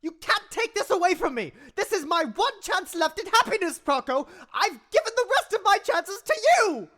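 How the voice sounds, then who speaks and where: A woman's voice: very high voice, lively intonation, moderate pace, fairly bright tone. A woman playing a weary but fierce, obsessed adventurer in a comedic audio drama, speaking with dramatic passion. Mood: desperate. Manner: dramatic, impassioned.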